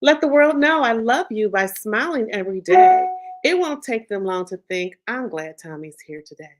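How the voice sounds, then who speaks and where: A middle-aged woman who writes children's books, reading her story aloud with lively pitch swings and then chatting casually, leaning on "you know.". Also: normal speed. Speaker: children's book author. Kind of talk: reading her picture book aloud, then interview chat. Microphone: video call.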